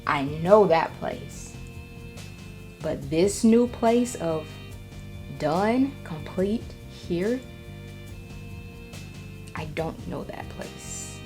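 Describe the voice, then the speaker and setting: Female vlogger, nervous and reflective, casual halting delivery with vocal fry, faint music underneath. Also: on the low side, wildly swooping pitch, darkish tone. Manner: halting, repeats phrases for emphasis. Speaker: vlogger, writer and storyteller. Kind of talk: casual, reflective vlog talk.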